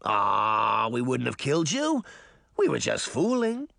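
A cocky, mischievous boy acted out by a man in a storybook reading. His delivery is mock-dramatic and taunting, swooping widely in pitch, and the sound is dry and close. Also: sing-song, measured pace, fairly bright tone, no room sound, full character voice. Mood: amused, dismissive.

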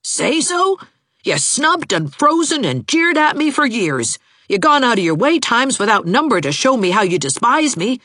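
Exasperated young man as a woman reads him, blustering, lovelorn, wildly animated delivery for a storybook. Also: deep voice, moderate pace, husky, no echo, clean studio sound, pitched above the performer's own voice, heavily acted. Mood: exasperated, pleading. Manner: blustering, emphatic. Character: exasperated, sulky young man, hopelessly in love.

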